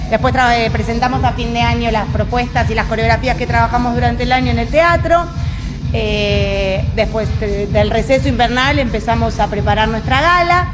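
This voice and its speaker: A woman, a dance teacher answering interview questions in a relaxed, slightly hesitant way. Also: fairly high pitch, moderate pace. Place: dance studio. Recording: loud music behind. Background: dance music.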